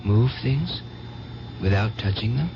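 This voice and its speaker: An intense, devout young drifter. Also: male voice, fairly low pitch, lively intonation, unhurried, creaky, noisy background. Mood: fervent, intense. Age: young adult. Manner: earnest, fervent. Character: intense orphaned young drifter, devout, touchy, delusional visionary. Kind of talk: 1970s radio drama acting. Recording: muffled vintage radio broadcast with music.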